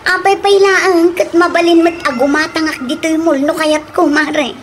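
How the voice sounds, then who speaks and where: A woman's voice: very high voice, fairly even intonation, normal speed, a little hiss or hum. A woman with an Ilocano accent playing a young wife who likes expensive things, talking in a defensive, insistent way. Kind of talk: radio drama acting.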